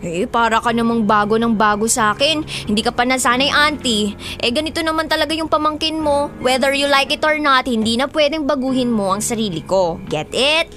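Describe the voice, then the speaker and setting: A woman's voice: high-pitched, brisk, a bit bright, soft background music. A woman playing a defiant, rebellious young niece in a Filipino radio drama, sassy and whiny. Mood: sassy, dismissive. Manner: sassy, whiny, mixing Tagalog with English.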